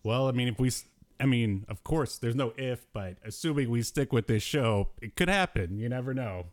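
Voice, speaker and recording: A man's voice: medium pitch, expressive, normal speed. A man with a mid-range voice hosts a casual podcast chat. He riffs in a jokey, exasperated way, talking loosely and conversationally. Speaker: podcast host. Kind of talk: casual chat about old cartoons.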